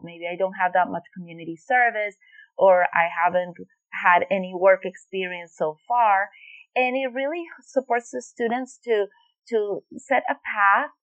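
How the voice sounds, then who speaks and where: A woman's voice: mid-range voice, dry recording. Woman consultant from Venezuela, podcast guest; thoughtful, restarts often; muffled, dead-dry sound. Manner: thoughtful, explanatory, with frequent fillers and restarts. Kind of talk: podcast interview answers.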